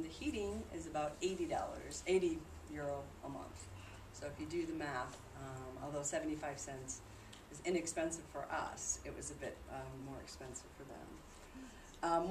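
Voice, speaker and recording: Female presenter, low voice, conversational explainer giving an informal group talk; noisy, echoing room recording. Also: a little fast, darkish tone. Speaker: presenter reporting on a charity trip. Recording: distant mic in noisy, echoey room.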